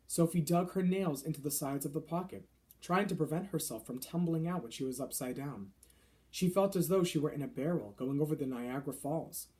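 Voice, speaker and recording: Mellow man reading a children's story. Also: fairly high pitch, brisk. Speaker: storybook reader. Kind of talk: scripted children's story narration.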